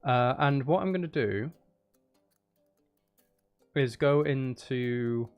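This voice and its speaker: A man chats casually to his livestream with faint music playing behind him. His mid-pitched voice rambles along in a self-deprecating manner, as a game streamer talking through what he is doing. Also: slow pace, clear, resonant tone, lo-fi sound. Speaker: game streamer and audiobook narrator.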